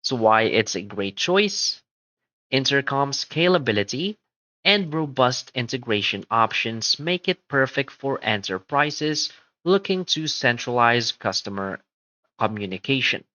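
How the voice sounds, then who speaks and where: A man presents a scripted explainer in a bright, forward voice. He reads slowly, in short, carefully enunciated phrases. The sound is close and dry, with no room around it. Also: mid-range voice, very animated, no echo, poor audio. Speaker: presenter of a software comparison tutorial.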